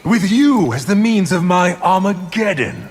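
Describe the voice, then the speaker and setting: Slow, haughty, menacing sorcerer villain. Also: male voice, high voice, big pitch swings, very noisy. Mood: bitter menace. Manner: haughty, menacing, formal phrasing. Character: scheming male sorcerer, power-hungry, contemptuous, vengeful villain. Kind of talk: theatrical fighting-game villain dialogue.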